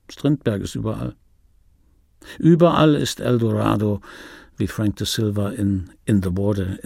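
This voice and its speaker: A self-important dubbing actor narrates his life in an intimate radio play monologue. His voice is husky and mellow, recorded close and dry. He phrases things pointedly, with dry irony. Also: fairly low pitch, dry recording. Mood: wry, reminiscing. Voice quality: gravelly, husky.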